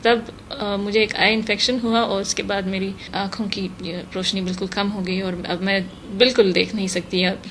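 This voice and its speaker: A woman giving measured, thoughtful interview answers, mixing English terms into her speech. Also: mid-range voice. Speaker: interview guest, translator. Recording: noticeable steady background noise.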